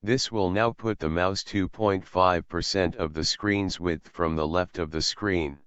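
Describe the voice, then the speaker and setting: A man calmly and methodically walking through a screen-recorded software tutorial as an instructor. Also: deep voice, a little slow, smooth, no room sound. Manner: calm, methodical explaining. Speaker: assistive technology instructor. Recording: slightly dull, dry voice-over.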